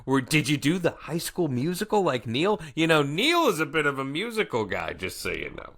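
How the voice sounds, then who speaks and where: A man's voice: on the high side, huge pitch swings, normal speed, a bit bright. Rambling, chatty podcast host, a man. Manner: rambling, enthusiastic questions full of fillers. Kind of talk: casual interview chat.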